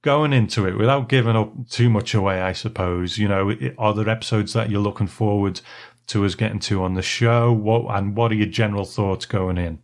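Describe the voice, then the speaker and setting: A man's voice: lowish voice, steady tone, fairly quick. A man co-hosting a podcast in a casual, easygoing, conversational way. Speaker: podcast co-host. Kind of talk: casual chat.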